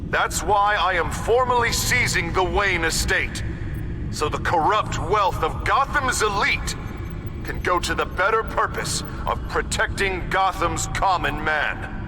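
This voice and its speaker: High-pitched, stern official proclaiming. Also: male voice, lively intonation, unhurried, over music. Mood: stern, righteous. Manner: formal, declamatory. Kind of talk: public speech in a video game.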